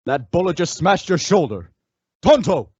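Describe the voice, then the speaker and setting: An actor playing a confident masked western hero in an old-time radio drama, with assured, declamatory delivery. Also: male voice, a little high, big pitch swings, normal speed, a little mellow, dull audio, slight background noise. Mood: firm, scornful.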